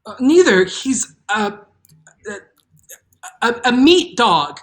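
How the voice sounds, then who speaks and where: A woman's voice: a little high, big pitch swings, slow pace, voice pushed up. Young heroine for audio drama, woman acting: plucky, protective girl, earnest, indignant yet kind. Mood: kindly. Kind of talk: table read of an audio drama.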